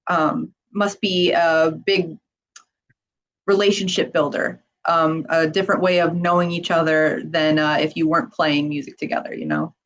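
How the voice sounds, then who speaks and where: A woman's voice: sits low, little pitch movement, moderate pace, fairly bright tone, highs cut off. Upbeat woman interviewer with many fillers. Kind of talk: casual interview.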